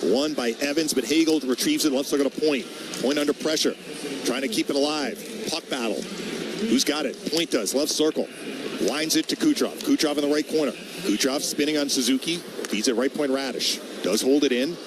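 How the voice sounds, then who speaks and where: A man calling live hockey play-by-play on the radio, naming each move in clipped, rapid-fire phrases. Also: a little high, noisy background. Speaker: play-by-play announcer.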